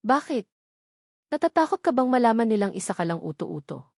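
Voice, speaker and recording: A woman's voice: fairly high pitch, very animated, brisk, creaky, a little mellow, no echo, clean studio sound, heavily acted. A woman voicing a sneering, scheming villainess with a mocking manner in a romance story. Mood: taunting.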